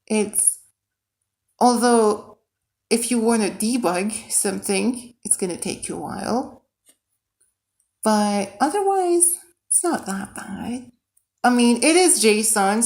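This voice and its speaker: A woman's voice: on the high side, slow pace, darkish tone. A woman from Iran, a native Persian speaker with a Persian accent, chatting casually to viewers and thinking aloud as she codes on a livestream. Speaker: livestreamer and game modder. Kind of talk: casual livestream chat while coding.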